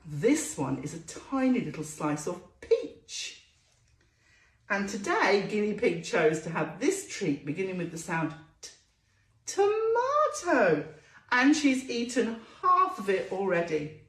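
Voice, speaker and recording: A woman presenting storytime for small children, telling a story in a sing-song way with drawn-out emphasis and questions to the listener. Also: mid-range voice, wildly swooping pitch, reverberant.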